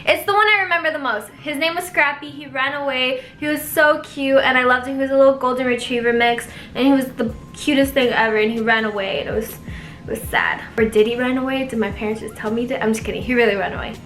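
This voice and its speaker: Young female YouTuber, bright tone, casual filler-heavy chat, echoey room with faint music. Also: high voice, fast. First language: Spanish. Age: young adult. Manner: chatty, filler-heavy, giggly asides.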